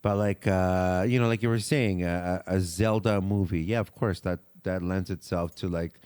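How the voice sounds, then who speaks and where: A man co-hosting a podcast, chatting casually and informally as he thinks out loud. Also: sits low, fairly even intonation, moderate pace, smooth, no room sound, clean studio sound. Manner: loose, informal, thinking aloud. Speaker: podcast co-host. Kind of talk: casual pop culture chat.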